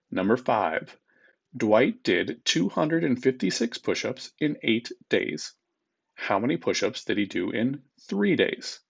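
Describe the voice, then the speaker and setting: Guy teacher reading out test questions, kinda creaky and husky, informal, slightly muffled recording. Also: mid-range voice. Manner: informal, instructive, repeats each question. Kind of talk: reading a math test aloud to students.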